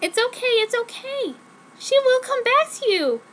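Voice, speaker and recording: A woman voicing an overwrought teenage heroine in a comedic fan-fiction reading, shrill and gushing. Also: big pitch swings, normal speed, fairly bright tone, heavily acted, rough recording. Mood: soothing.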